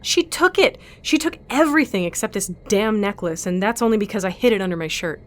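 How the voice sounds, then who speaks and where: A woman's voice: mid-range voice, animated, brisk, natural voice. A woman playing a bitter, self-preserving captive fighter in an audio drama, blunt, demanding and sardonic. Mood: exasperated.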